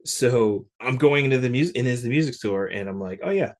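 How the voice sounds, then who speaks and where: A man from Connecticut, a guest telling stories about his life in a relaxed, conversational way, with many casual fillers. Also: medium pitch, fairly quick. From Connecticut, USA. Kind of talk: casual interview storytelling.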